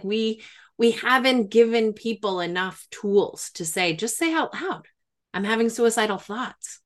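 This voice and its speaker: A woman's voice: mid-range voice, fairly bright tone. A middle-aged woman speaking as a therapist in a reflective conversation, earnest and emphatic, often thinking aloud as she goes. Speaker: therapist and grief expert.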